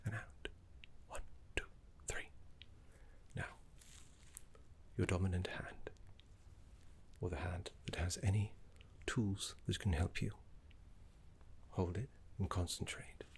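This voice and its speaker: A man voicing a gentle, scholarly necromancy teacher in an ASMR roleplay, soft, breathy and half-whispered, with soothing, genteel phrasing. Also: low voice, steady tone, slow, pauses often. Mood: soothing, instructive. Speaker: teacher guiding a student.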